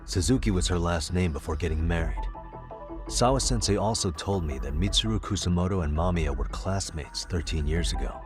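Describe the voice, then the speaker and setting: Man voicing a very deep-voiced serious detective. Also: a little fast, natural voice. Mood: grave, thoughtful. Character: serious, steady male detective working a case. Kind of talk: English game dub, cutscene dialogue. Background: background music.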